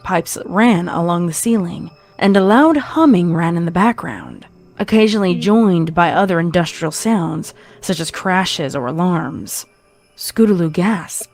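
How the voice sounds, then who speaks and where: Low-voiced woman narrating a horror story. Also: expressive, unhurried, a little mellow, faint music behind.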